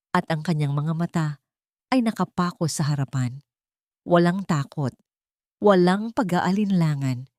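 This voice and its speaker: A woman narrates a dramatic audio story in the first person. Her voice is low, and her phrasing is solemn and deliberate. The recording is dry, with no room sound. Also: a little mellow, no room sound.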